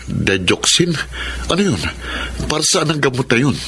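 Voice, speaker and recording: A man's voice: mid-range voice, sing-song, moderate pace, vocal fry, noisy background. A Filipino actor playing an anxious, questioning father of a sick child in a Tagalog radio drama. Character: worried father of a sick daughter, hospital employee.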